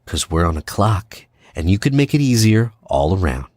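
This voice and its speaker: A man playing a cocky, needling American spy in an audio drama, casual and clipped, with quiet menace. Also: deep voice, sing-song, moderate pace, no room sound, clean studio sound. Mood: menacing.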